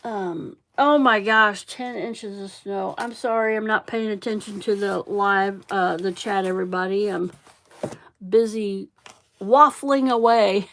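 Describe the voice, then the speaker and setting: A middle-aged woman with a Central Illinois accent rambles through a casual craft livestream at a slow, unhurried pace. She is chatty, drifts off topic, and now and then mutters to herself as she works. Her pitch sits in a middle range. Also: fairly bright tone. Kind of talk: casual chat while crafting.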